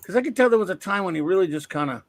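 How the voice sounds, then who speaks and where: A man's voice: high voice, animated, rapid-fire, no echo. A man hosting a podcast, chatting casually about comics in a blunt, opinionated way with many restarts. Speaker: podcast host.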